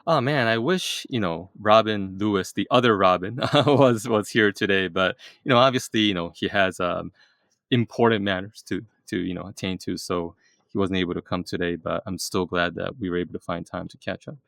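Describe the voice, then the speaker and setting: A man hosting a casual interview, excitable and full of fillers and restarts. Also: low voice, moderate pace, gravelly, dry recording, clean studio sound. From East Asia. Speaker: podcast host.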